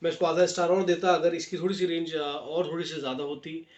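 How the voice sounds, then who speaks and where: High-pitched Indian man reviewing a gadget. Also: little pitch movement, fast talker. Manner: mixes in English words and phrases, addresses viewers as friends. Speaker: product reviewer. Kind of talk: casual unboxing and review, explaining step by step. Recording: dull lo-fi sound, faint noise, clicks.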